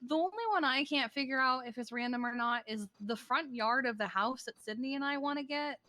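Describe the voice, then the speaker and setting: A woman improvising in game roleplay as a matter-of-fact, wry character who recounts things haltingly. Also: high-pitched, bright, forceful tone. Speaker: role-player in a live-streamed online game.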